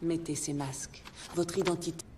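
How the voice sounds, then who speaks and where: A woman voicing a brave, protective superhero mother in a French animated film dub, firm and emphatic. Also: low-pitched, moderate pace, noisy background, close to an everyday voice. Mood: hushed, tender. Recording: loud film score behind.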